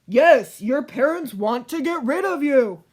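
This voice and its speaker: A woman's voice: high voice, lively intonation. A woman playing an exasperated storyteller who corrects her wayward characters in a comic children's read-aloud. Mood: warning. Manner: deliberate, exasperated.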